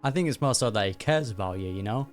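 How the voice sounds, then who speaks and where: A woman with a strikingly low voice reacts to a game as it plays. Her talk is casual, slangy and playful, with music faintly behind her. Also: animated, fast. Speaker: streamer reacting to a game story. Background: game music and dialogue.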